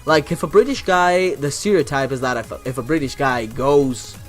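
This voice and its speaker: A man's voice: medium pitch, normal speed, faint music behind. Young Italian man, swearing, rambling. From Italy. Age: young adult. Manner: rambling, profane, frequent restarts. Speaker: YouTuber and streamer. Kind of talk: casual Q&A vlog.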